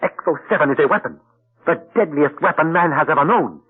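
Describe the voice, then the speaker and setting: A man's voice: on the high side, expressive, a little fast, husky. An actor playing a shrewd, stiffly formal male detective in a 1940s radio drama, recorded as a tinny old broadcast. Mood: urgent. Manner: stiffly formal, ornate phrasing.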